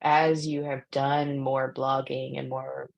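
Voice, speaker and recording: A woman's voice: rumbling low, level tone, slow pace, gravelly, highs cut off. A woman interviewing an author at a bookstore talk, asking questions and summing up her advice in an encouraging way.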